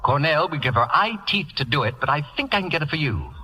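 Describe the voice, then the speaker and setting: A sharp, imperious, acid-tongued celebrity. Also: male voice, on the high side, big pitch swings, fast talker, poor audio. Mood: coaxing, scheming. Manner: theatrical, biting sarcasm and grandiose insults. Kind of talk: old-time radio comedy acting. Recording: vintage radio broadcast recording.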